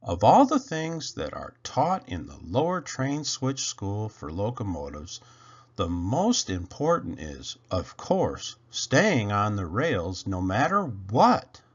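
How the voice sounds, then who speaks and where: Slow, very animated male storyteller. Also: lowish voice, breathy edge, highs cut off. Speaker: storybook reader. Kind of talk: children's read-aloud narration.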